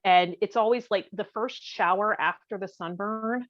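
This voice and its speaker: A woman talks casually in a middle-register voice. She is candid and animated, often joking. The sound is very dry and a little dulled. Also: medium pitch, moderate pace, dry recording. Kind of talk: casual chat. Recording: close, dry, slightly dull audio.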